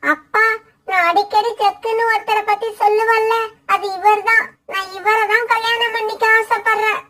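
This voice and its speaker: A woman's voice: very high voice, steady tone, moderate pace, sharp tone. A woman voicing a young female cartoon bird, an insistent, bossy and wheedling daughter and wife. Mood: eager, pleading. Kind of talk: children's cartoon voice acting.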